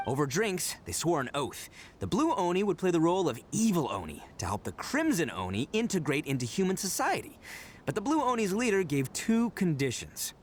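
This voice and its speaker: A man's voice: a little high, sing-song, brisk, slight background noise. A man voicing a boisterous, proud young male Oni gang leader in a game cutscene, given to hearty laughs. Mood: storytelling. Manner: boastful, hearty laughs, earnest when serious.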